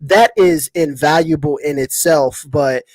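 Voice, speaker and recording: Male podcast co-host, somewhat high-pitched, colloquial and slangy, very dry recording. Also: measured pace, a little mellow, dry recording. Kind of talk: casual panel chat.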